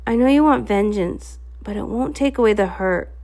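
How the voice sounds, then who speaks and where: A woman's voice: medium pitch, normal speed, a little mellow, close to an everyday voice. A woman voicing a young, compassionate pony princess, earnest and pleading, in a comic read-along.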